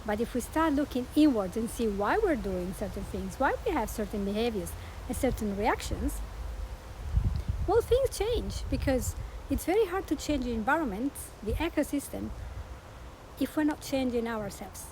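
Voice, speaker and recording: A middle-aged woman with an Italian accent speaks earnestly to her audience, her voice sitting a little high and lifting often for emphasis. The recording carries a clear hiss of background noise. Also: big pitch swings, normal speed. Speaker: podcast host and life coach. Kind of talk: promotional monologue.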